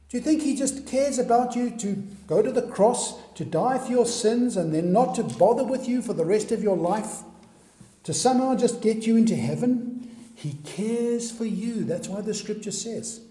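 Slow, dark-voiced male preacher. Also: sits high. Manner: earnest preaching, many direct questions to the listener. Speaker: pastor. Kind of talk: sermon. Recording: echoey hall recording.

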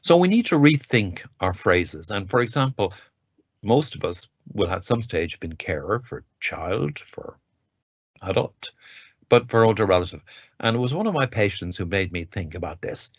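A lecturer in his sixties speaks over a webcam from a study lined with bookshelves. His voice sits very low, and the room sounds close and dead. The audio comes through muffled and lo-fi, yet he talks with lively enthusiasm and stresses his points. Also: normal speed, no room sound. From Ireland. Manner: enthusiastic, reads quotes aloud with emphasis. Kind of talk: academic lecture. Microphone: webcam on a video call. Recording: dull lo-fi video call audio, dry.

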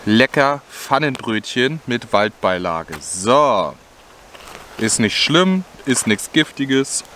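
A man's voice: medium pitch, sing-song, slow, bright, forceful tone. A young man chats casually and easygoingly to viewers while cooking outdoors, thinking aloud in colloquial speech. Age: young adult. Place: outdoors in a forest. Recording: clearly noisy outdoor background.

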